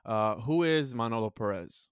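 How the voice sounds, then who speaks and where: Man, 20s, podcast host, smooth voice, dry close sound, philosophical, halting restarts. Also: medium pitch, lively intonation, dry recording. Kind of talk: casual podcast chat.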